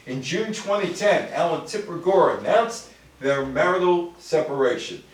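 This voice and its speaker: An older American man giving an informal lecture on music history to a live audience. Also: on the high side. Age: older, grandfather. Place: indoor room before a live audience. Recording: very echoey room, distant microphone.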